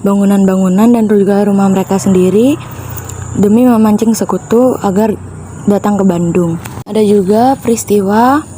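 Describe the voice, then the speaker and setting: A woman's voice: mid-range voice, warm tone, slight background noise. A teenage girl presenting school topics on a student podcast in an earnest, informative way. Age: teens. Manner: earnest, informative, explaining history.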